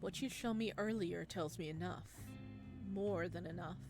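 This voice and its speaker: A woman voicing a calm, probing male therapist in a visual novel, measured and clinical, with a quietly ominous temper. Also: fairly low pitch. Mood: calm, insistent. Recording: music playing behind the voice.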